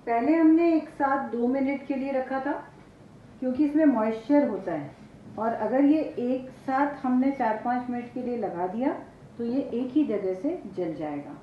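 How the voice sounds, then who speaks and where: A woman in her sixties with an Indian accent hosts a cooking demonstration, explaining each step. Her voice sits a little high. The recording is roomy and slightly muffled, picked up from a few feet away in a home kitchen. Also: a little slow, slight background noise. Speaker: chef, cooking show host.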